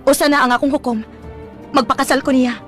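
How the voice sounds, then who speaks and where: An actress playing a feisty, self-sacrificing young daughter in a Filipino radio drama, resolute and earnest. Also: female voice, high voice, steady tone, fairly quick, fairly bright tone. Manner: resolute, earnest, a little sassy. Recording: music bed behind.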